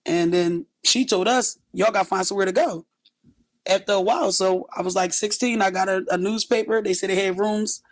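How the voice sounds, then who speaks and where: Raspy, blunt-talking woman guest. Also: medium pitch, poor audio. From West Philadelphia. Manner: blunt, tough, street-worn storytelling. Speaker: rapper, interview guest. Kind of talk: candid interview talk. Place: small bright indoor studio, seated on a sofa.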